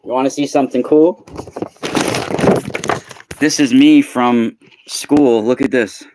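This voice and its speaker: A man's voice: medium pitch, slow pace, a little hiss or hum, poor audio. A man in his forties with a youthful-sounding voice, casually chatting to viewers by name while hosting a live unboxing. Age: 40s. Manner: chatty, talks to viewers by name, bursts of excitement. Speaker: live stream host.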